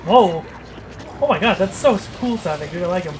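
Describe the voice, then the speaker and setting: Male let's play co-host, high voice, jokey and sweary casual chatter, faint game noise. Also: animated, moderate pace. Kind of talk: casual video game commentary. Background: horror game sound.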